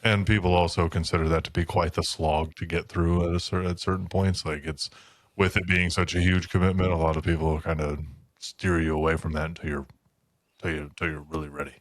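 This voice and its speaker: A man's voice: sits low, little pitch movement, brisk, dry recording. A man co-hosting a podcast, chatting informally and casually about books. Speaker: podcast co-host. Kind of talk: casual book chat.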